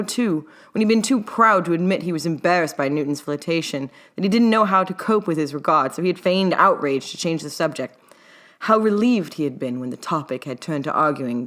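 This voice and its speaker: A woman's voice: lowish voice, a bit bright. A woman reading her own fiction aloud as scripted story narration.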